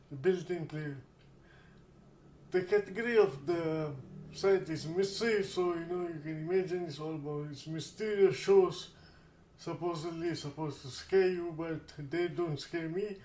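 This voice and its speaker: Higher-voiced man presenting in an echoey room. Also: a little mellow. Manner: halting, repeats words. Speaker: tech channel host. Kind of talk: casual spoken tech demonstration.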